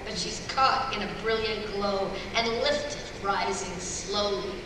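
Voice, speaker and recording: A woman's voice: medium pitch, slow. A woman reading stage directions aloud as narrator in live scripted narration. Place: large indoor convention hall before fans. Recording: very echoey convention hall, distant, noisy.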